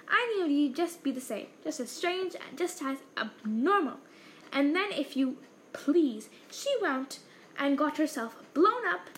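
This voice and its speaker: A woman voicing a shrill, bitter middle-aged aunt who rants and shrieks, in a read-aloud novel. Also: fairly bright tone, a little hiss or hum, heavily acted. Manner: shrieking, ranting.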